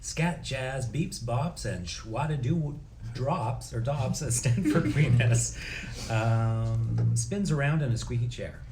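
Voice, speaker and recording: A man's voice: mid-range voice, animated, slow pace, noisy background. A man narrating stage directions at a script table read.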